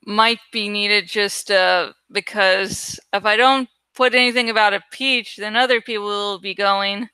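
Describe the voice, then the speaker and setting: A woman rambles casually as she works, thinking out loud with plenty of swearing. Her voice is bright and smooth, recorded close and dry. She sometimes stalls to hunt for the right word. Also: mid-range voice, steady tone, unhurried, hardly any pauses, dry recording, poor audio. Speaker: online creator and host. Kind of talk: casual rambling chat while working on a graphic.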